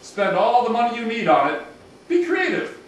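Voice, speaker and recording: A man's voice: very high voice, sing-song, normal speed. A man playing a gullible, jovial king in an amateur puppet show. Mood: jovial, careless. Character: gullible, jovial Persian king, casually handing out decrees. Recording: echoey room, distant mic, faint noise.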